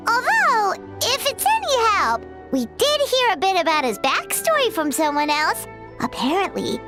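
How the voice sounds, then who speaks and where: A woman voicing a tiny, excitable floating companion girl who talks about herself in the third person, in a video game. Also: very high-pitched, full character voice. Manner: bouncy, whiny, refers to self in third person. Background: game music.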